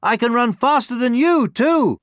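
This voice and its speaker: A man's voice: extremely high pitch, lively intonation, normal speed, a bit bright, no echo, studio quality, voice pushed up. A man voicing a cheeky, boastful little gingerbread man in a storybook, with a taunting sing-song delivery. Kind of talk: storybook character voice.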